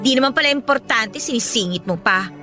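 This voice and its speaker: High, bright, bubbly Filipino girlfriend. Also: expressive, fairly quick, faint music behind. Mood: teasing, bossy. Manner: gushing, teasing, exclamatory. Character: bubbly, pushy young female friend teasing about romance. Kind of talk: Filipino radio drama acting.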